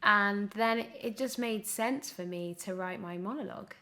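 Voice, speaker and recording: A woman in her twenties chatting casually as an interview guest, candid and animated, often correcting herself mid-thought. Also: medium pitch, normal speed. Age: 20s. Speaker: guest, young actor.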